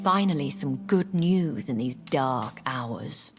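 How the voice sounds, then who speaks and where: Game role: aristocratic immortal vampire lady, very low register, poised and teasing, music underneath. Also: animated, slow pace, full character voice. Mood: warm. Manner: formal, poised, gently teasing. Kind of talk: dramatic video game acting.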